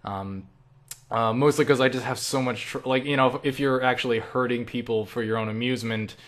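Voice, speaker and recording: Young man, medium pitch, casual rambling delivery, self-deprecating, online creator Q&A. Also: a little fast. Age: young adult. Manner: self-deprecating, animated asides with acted-out quotes. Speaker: online creator answering viewer questions.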